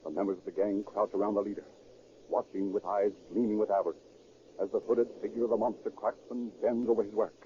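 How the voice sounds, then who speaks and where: A man's voice: on the low side, level tone, fast, mellow, a little hiss or hum, lightly acted. A man acting as a theatrical, portentous narrator in a 1930s radio crime drama. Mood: dramatic. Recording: muffled 1930s radio recording.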